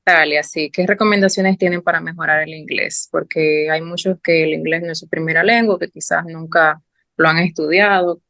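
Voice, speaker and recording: Dominican woman moderating, low dry voice. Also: steady tone, a bit bright, dry recording. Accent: Dominican Spanish. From Dominican Republic. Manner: brisk, affirming, reads out questions. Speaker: panel moderator. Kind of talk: moderating a panel chat.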